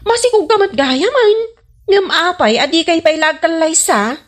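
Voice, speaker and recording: A woman's voice: extremely high pitch, animated, moderate pace. A woman playing a frank, teasing young snack seller in an Ilocano comedy radio drama, with an Ilocano accent.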